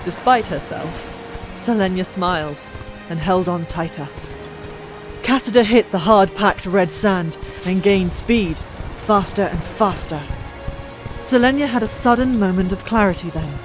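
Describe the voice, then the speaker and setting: A woman's voice: medium pitch, unhurried. Woman narrating fantasy over music. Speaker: audiobook narrator. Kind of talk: dramatic scripted fantasy narration.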